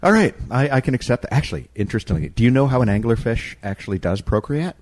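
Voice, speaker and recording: Animated, slightly husky male show host. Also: medium pitch, quick pace. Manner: jokey, animated. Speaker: radio talk show host. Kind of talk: conversational interviewing and banter.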